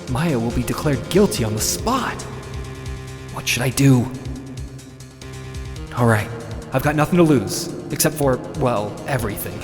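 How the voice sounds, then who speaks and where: A man's voice: medium pitch, natural voice. Man acting anxious young lawyer, long reverb. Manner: nervous, dramatic, with muttered inner asides. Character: anxious young rookie defense attorney, earnest and flustered. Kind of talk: video game voice dub. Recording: long reverb, music underneath. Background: music.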